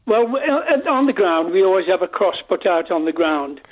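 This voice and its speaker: A man's voice: sits high, animated, moderate pace, narrow, tinny audio. A man from a parachute display team answering radio interview questions in a friendly, explanatory way. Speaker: parachute demonstration team member.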